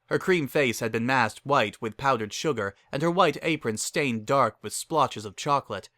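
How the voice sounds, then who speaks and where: A man's voice: medium pitch, normal speed, pushed and bright, dry recording, clean studio sound. A man reading scripted dramatic story narration as a narrator.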